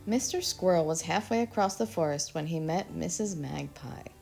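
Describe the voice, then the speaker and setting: A woman's voice: low-pitched, animated, moderate pace, vocal fry, mellow, faint music behind. A woman from Texas, reading a children's story aloud expressively. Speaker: storybook reader. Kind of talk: children's read-aloud narration.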